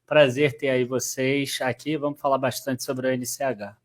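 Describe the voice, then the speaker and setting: A man's voice: mid-range voice, flat delivery, moderate pace. A man co-hosting a podcast as an analyst, easygoing with chuckling asides. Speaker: analyst, co-host. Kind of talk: casual podcast chat.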